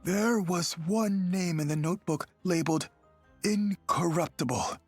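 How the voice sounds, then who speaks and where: A slow, husky young fugitive telling his tale. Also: sits high, no echo, soft background music, exaggerated voice. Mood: earnest, grave. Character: wronged young man, earnest fugitive recounting his past. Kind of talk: dramatic first-person narration in a mystery game.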